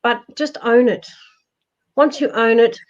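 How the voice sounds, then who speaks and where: Loud, emphatic woman. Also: fairly high pitch, steady tone, measured pace. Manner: emphatic, reassuring, repeats herself. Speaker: returning guest giving life advice. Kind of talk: casual live-stream advice chat. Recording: dull lo-fi stream audio.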